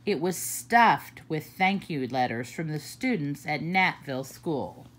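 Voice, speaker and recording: A woman reading a children's picture book aloud for story time, slow and deliberate with expressive swoops. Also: deep voice.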